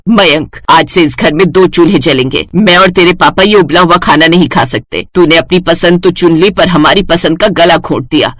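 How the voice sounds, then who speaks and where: Lady doing a strict middle-aged indian saas for a cartoon, lowered voice, scolding and hurt. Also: normal speed, few pauses, a bit bright, no echo, studio quality. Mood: bitter. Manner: scolding, indignant. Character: stern, wounded middle-aged Indian mother-in-law.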